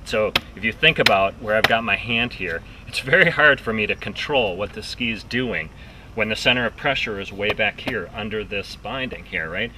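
A man's voice: fairly low pitch, lively intonation, normal speed. A man teaching water ski technique on a lakeside dock, explaining step by step as he shows the ski. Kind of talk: outdoor instructional demo. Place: outdoors on a dock by a lake. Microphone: distant camera mic. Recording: distant outdoor mic with light noise.

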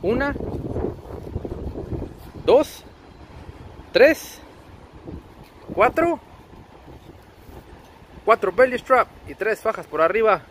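A man's voice: high voice, sing-song, very slow, pauses often, rough recording. A man with a Mexican accent narrating a casual trucking vlog, chummy and slangy, talking to viewers as buddies. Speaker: truck driver and vlogger. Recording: clear outdoor wind and vehicle noise.

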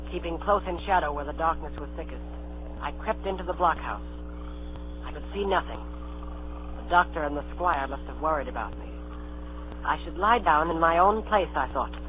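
A man's voice: a little high, a little fast, long pauses, natural voice. An actor playing a proud, plucky boy adventurer, telling his tale earnestly in old radio drama style. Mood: earnest, suspenseful. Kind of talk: 1930s radio drama acting. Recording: tinny old radio broadcast, music, hum.

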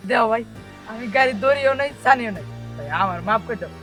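A woman's voice: on the high side, animated, fairly quick. A woman playing a greedy crony thief who turns cowardly, urgent and repetitive, in an amateur comic drama. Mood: pleading. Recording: loud music over voice.

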